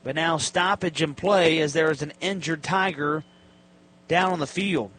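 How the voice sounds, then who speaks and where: A high-voiced man calls a college football game on the radio. He describes the action in clipped, detailed phrases. Faint stadium noise sits behind his voice. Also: measured pace, a bit bright. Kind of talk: live sports play-by-play commentary. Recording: live broadcast with stadium background noise. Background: stadium ambience, faint noise.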